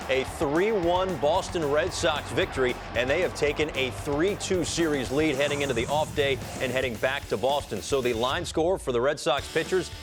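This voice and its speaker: An American man hosting a postgame sports show, narrating highlights and leading panel discussion. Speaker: sports studio show host. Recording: music bed behind the voice. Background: music.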